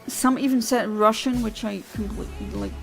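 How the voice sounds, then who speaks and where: Gravelly-voiced woman streamer, chatty. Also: a little high, wildly swooping pitch, moderate pace, faint music behind. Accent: non-native English accent. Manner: chatty and self-deprecating, many fillers and restarts. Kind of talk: casual live-stream chat while playing and answering chat.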